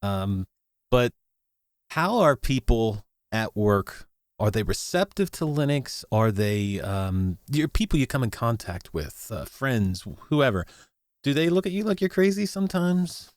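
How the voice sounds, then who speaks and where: A man's voice: medium pitch, big pitch swings, a little slow, no echo, clean studio sound. A man hosting a casual interview, asking questions in an informal, encouraging way. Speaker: host, interviewer.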